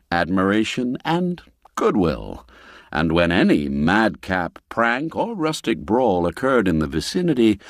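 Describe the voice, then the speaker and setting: A man narrating a classic literary story in a measured, deliberate and expressive storytelling manner. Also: fairly low pitch, dry recording, studio quality.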